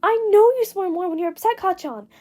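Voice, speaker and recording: A woman's voice: extremely high pitch, fairly quick, mellow, faint music behind, pitched above the performer's own voice. Lady doing an earnest huffy teen boy, voice lifted way up, audiobook style. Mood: earnest concern. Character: earnest, huffy teenage boy, skeptical friend.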